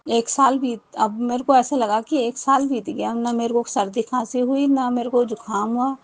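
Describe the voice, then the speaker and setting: Higher-voiced woman telling a rambling testimony. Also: fairly even intonation, moderate pace, no echo. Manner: rambling, many restarts and repeated thanks. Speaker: devotee sharing a testimony. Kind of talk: personal testimony.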